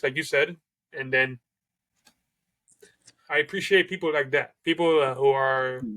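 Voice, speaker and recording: A man's voice: on the high side, sharp tone, poor audio. A man hosting an interview who stutters, talking casually with many fillers. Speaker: host. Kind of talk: conversational interview.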